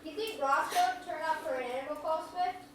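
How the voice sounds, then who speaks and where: A woman playing a wide-eyed, excitable young country boy full of eager questions in a staged drama. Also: high voice, fairly quick, natural voice. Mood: amazed. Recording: echoey hall, distant mic, clear noise.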